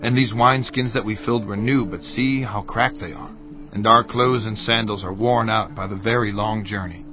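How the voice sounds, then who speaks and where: An actor playing a weary, humbly pleading envoy in a dramatized audio Bible. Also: male voice, lowish voice, fairly even intonation, moderate pace, dull audio, faint music behind, close to an everyday voice. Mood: humble pleading.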